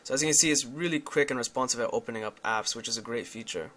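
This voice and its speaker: A young man reviews a phone app in a casual, explanatory way. His voice is bright and forward, and he speaks candidly as he walks through each step. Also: mid-range voice, quick pace. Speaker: tech reviewer.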